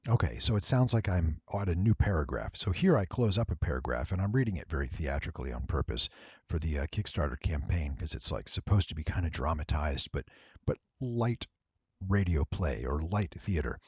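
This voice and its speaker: A man with a low, slightly rough voice walks through a tutorial. He explains deliberately and with emphasis in a very dry, close recording. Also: very animated, a little mellow, dry recording, dull audio. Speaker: voice actor giving an audio editing tutorial. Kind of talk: explanatory screen-recording narration.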